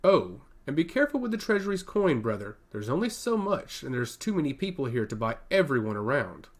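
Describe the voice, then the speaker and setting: A man's voice: medium pitch, sing-song, a little fast. A man voicing a poised young princess, lilting delivery. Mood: confident. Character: poised, polite young princess, earnest and self-assured.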